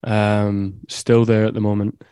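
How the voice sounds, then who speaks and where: Flat-toned young Scottish man. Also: on the low side, unhurried, smooth, dry recording, clean studio sound. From Scotland. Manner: laid-back, rambling. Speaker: musician guest. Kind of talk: casual interview chat. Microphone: video call.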